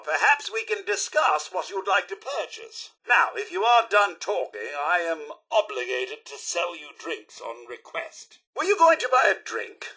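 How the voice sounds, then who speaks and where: A man's voice: a little high, bright, forceful tone, slightly rough, heavily acted. A man voicing a prim, polite robot butler in a video game, with a British accent and a formal, theatrical, dryly sarcastic manner. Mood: dry, snooty. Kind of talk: video game character voice lines.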